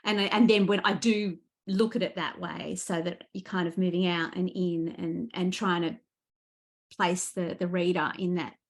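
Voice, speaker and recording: A woman chatting casually as a guest author, thoughtful and animated in how she explains things. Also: lowish voice, moderate pace. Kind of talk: casual book interview chat.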